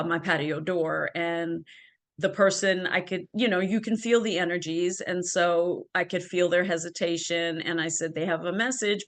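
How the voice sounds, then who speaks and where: A woman's voice: on the low side, fairly bright tone. Earnest woman telling her story. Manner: earnest, with frequent ums and restarts. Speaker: interview guest. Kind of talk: personal storytelling in an interview. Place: home, by a ring light.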